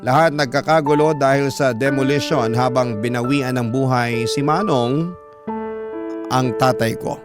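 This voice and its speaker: A man narrating a dramatic first-person radio story as storyteller, solemn and emotional, with deliberate phrasing. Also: medium pitch. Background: music.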